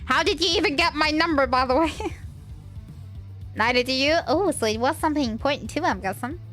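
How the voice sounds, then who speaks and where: Woman, high voice, slight cold, rambling casual streamer talk, hesitant, music in the background. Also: a little fast, fairly bright tone. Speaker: livestreamer. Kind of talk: casual livestream chat.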